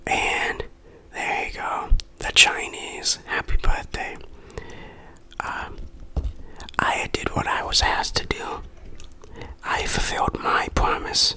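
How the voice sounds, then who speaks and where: An ASMR host reviewing in a breathy, unvoiced whisper, with a playful, dryly self-mocking manner. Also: slow pace, sharp tone, rough recording.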